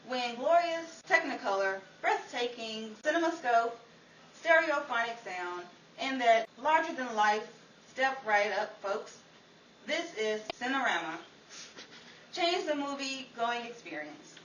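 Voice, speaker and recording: A woman's voice: on the high side, lively intonation, measured pace, a bit bright. A woman hosting with scripted, nostalgic old-time radio narration in a theatrical announcer manner. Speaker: narrator, host. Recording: roomy, distant live recording with audience, noise.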